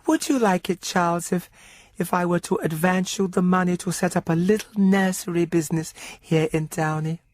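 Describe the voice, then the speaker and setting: Radio drama aunt, elderly and genteel, played by a man in a high register: prim, doting, slightly sinister, careful phrasing. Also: moderate pace, a little mellow, lightly acted. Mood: fond, coaxing. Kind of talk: old-fashioned radio drama reading.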